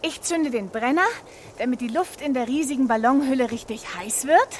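A woman as a high-voiced, chatty plucky reporter. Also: a little fast, slight background noise, natural voice. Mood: chatty, adventurous. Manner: lively, chatty, theatrical. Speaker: host of a children's audio series. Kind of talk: children's radio play acting.

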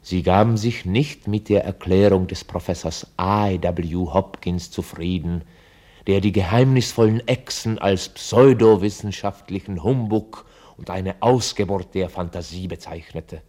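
An actor playing a dry, ironic narrator, reading scripted radio play narration like a documentary report. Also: male voice, sits low, unhurried, mellow. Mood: dry, reportorial.

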